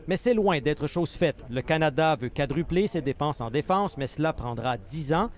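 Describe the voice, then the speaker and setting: A man delivering a scripted news report from the field in a clear, measured way. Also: fairly high pitch, lively intonation.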